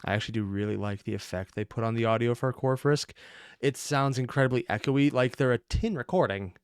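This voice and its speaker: A man's voice: mid-range voice, a little fast, clean tone, dry recording, clean studio sound. A man giving casual, sweary, exclamatory reaction commentary as the host of a video.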